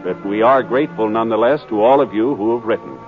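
A man's voice: on the low side, fast talker. An American man giving formal, scripted announcements in 1950s radio style. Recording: muffled 1950s radio recording. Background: theme music.

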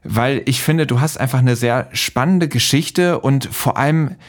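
Mid-pitched man hosting an interview. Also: unhurried. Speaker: podcast host, interviewer. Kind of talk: conversational interviewing.

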